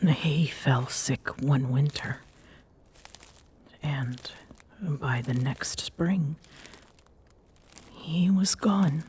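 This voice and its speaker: A woman playing a tender, wistful but guarded Amazonian queen in an intimate ASMR roleplay, speaking softly and pensively, often close to a breathy whisper. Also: low-pitched, drawn-out words, long pauses, heavily acted. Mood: grieving, tender.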